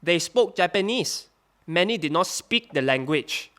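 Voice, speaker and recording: A man playing a defensive wartime interpreter on the witness stand, speaking halting, slightly broken English as a non-native speaker. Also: sits high, lively intonation, pushed and bright, exaggerated voice. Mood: explaining. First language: not English. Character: evasive wartime interpreter for military police, male, defensive witness. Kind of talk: audio drama acting.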